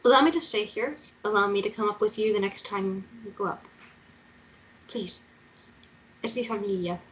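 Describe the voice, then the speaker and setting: A mid-pitched, earnest young-spirited female journalist acted by a woman in a drama read-through, with faint noise behind her. She is stubborn and persistent. Her delivery is direct and determined. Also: moderate pace, a bit bright, natural voice, rough recording. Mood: pleading.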